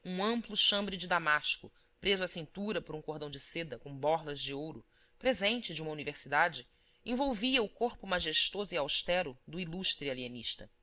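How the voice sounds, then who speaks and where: A woman reading a literary audiobook as narrator, in a formal, expressive manner. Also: deep voice, normal speed.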